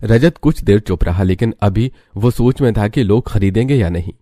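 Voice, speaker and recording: A male narrator telling a dramatic scripted story. His voice is deep and dark, and soft music plays behind him. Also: normal speed.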